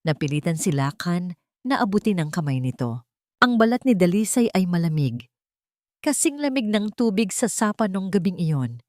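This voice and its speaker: Female storyteller, slightly low register, dramatic scripted read, dry studio-like sound. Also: big pitch swings, normal speed, darkish tone, no room sound. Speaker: story narrator.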